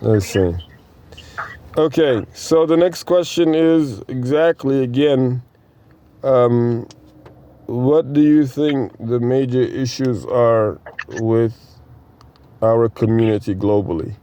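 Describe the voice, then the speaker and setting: A man runs a casual group discussion, steering it with follow-up questions. His voice is mellow and relaxed, sitting at an average pitch for a man. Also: takes it slowly, long pauses. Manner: probing, asks follow-up questions. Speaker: interviewer.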